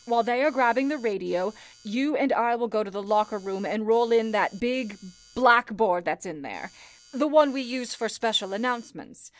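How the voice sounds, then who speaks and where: A woman playing a calm, commanding telephone switchboard supervisor in an old-time radio play, with a deliberate, authoritative way of speaking. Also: a little high, close to an everyday voice. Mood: firm, organizing. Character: calm, commanding female telephone operator supervisor in wartime-like crisis.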